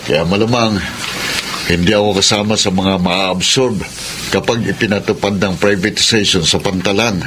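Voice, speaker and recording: A low-voiced, downcast working family man in a radio drama. He is earnest and subdued, on a faintly noisy recording. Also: fairly even intonation, normal speed, fairly bright tone. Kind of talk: classic Filipino radio drama acting.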